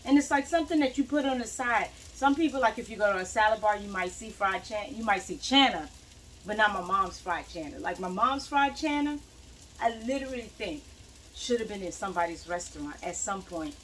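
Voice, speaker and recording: A woman's voice: mid-range voice, animated, brisk, a bit bright, slight background noise, rough recording. A young woman, a cooking vlogger, chatting casually as she fries food in her home kitchen and moves around the stove.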